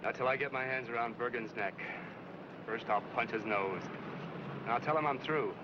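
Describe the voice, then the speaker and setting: An actor playing an angry, determined fiancé, emphatic and blustering, in a 1940s comedy film. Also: male voice, mid-range voice, normal speed, natural voice. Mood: indignant. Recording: muffled, noisy outdoor old film scene.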